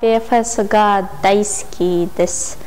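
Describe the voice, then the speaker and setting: Teenage girl, mid-pitched, faint noise. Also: steady tone, very fast, a little mellow, low-quality recording. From Russia. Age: teens. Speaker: student. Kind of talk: short phrases in many languages to camera.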